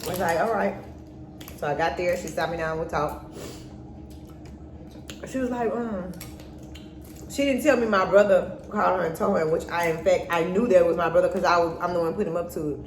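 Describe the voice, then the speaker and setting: A woman's voice: lowish voice, a little fast, long pauses. Middle-aged woman in a roomy, echoey space. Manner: candid, acts out remembered dialogue. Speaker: mother co-hosting a storytime vlog with her daughter. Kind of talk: casual personal storytelling. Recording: roomy echo, faint background noise.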